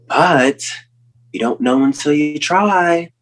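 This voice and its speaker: A man playing a gently silly, optimistic companion who soothes tentatively, in a comic stage play reading. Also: fairly high pitch, takes it slowly, low-quality recording. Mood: reassuring.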